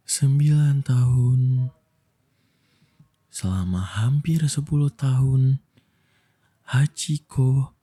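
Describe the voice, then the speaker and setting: A young man gives a gentle, soothing ASMR bedtime delivery in a soft, breathy voice. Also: mid-range voice. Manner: slow, gentle, soothing delivery with long pauses. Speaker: ASMR voice actor telling a bedtime story as a boyfriend.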